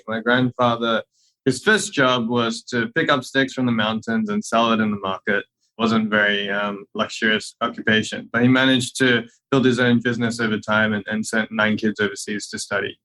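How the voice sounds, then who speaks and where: An earnest man, a company co-founder, tells stories in an interview answer. He grew up in Malaysia and Australia. His voice sits on the lower side. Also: steady tone, brisk, bright, forceful tone, low-quality recording.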